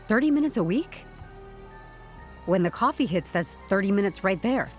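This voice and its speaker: A wry, easily flustered office employee in an audio drama, acted by a woman. Her pitch leaps around in an animated way as she talks in casual, sarcastic asides. Light music sits underneath. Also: normal speed, close to an everyday voice. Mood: flustered sarcasm. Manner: sarcastic, informal, exclamatory.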